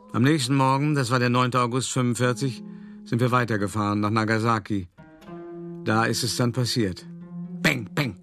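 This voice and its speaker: An actor playing a shrewd, self-satisfied older art dealer who tells his past in a reflective, conversational radio drama monologue with deliberate pauses. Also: medium pitch, close to an everyday voice. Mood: wry, matter-of-fact.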